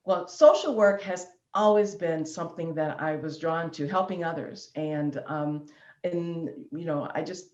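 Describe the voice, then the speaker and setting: Low-voiced woman in a roomy room. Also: unhurried. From Illinois, USA. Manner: warm chatty, laughing easily, filler ums. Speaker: guest. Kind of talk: online interview. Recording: roomy, dull lo-fi sound.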